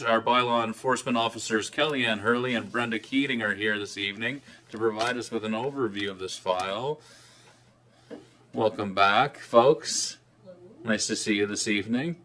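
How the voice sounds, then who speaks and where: A man chairing a council meeting, moving through motions in a brisk, friendly, procedural way. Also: mid-range voice, pushed and bright. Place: council chamber.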